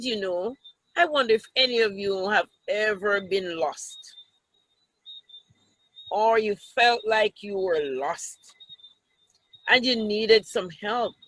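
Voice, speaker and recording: A woman with a Jamaican accent reading a story aloud to children, expressive and teacherly, with asides to the listeners. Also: a little high, no echo, low-quality recording.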